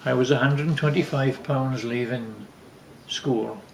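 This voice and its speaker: An older man from Wales telling stories from his life casually, haltingly, repeating words as he recalls them. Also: mid-range voice, steady tone, gravelly. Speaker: father telling family stories. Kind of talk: casual storytelling, reminiscing. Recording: roomy, echoey home recording.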